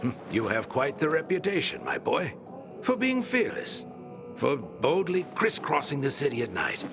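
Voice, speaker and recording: Fervent older flatterer, higher voice, very animated and theatrical, game character. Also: male voice, normal speed, creaky, over music, exaggerated voice. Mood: fervent, flattering. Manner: theatrical, emphatic. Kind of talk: video game voice acting.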